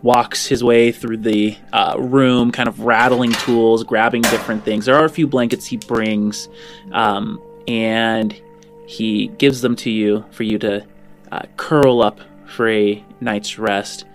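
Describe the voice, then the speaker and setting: Low-voiced man narrating a tabletop game. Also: steady tone, soft background music. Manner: halting, searching for words. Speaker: game master.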